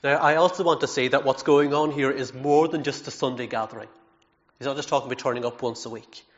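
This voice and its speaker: A man's voice: medium pitch, steady tone, fast, dull audio. A man preaching a sermon in an earnest, emphatic way, with self-deprecating asides and frequent repeated words.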